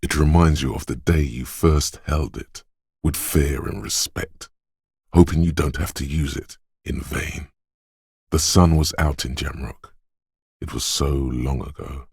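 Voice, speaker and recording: A man voicing an inner voice of physical instinct in a video game, advising and narrating in a deliberate, precise, instructing way. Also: a bass voice, steady tone, vocal fry, a little mellow, husky, no echo, clean studio sound, full character voice. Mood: reverent, solemn. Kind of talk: video game voice-over, second-person narration.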